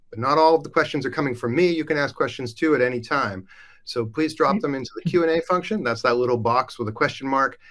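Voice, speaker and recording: A man's voice: mid-range voice, a bit bright. A man hosting a live company webcast in an upbeat, enthusiastic presenting manner. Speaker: host.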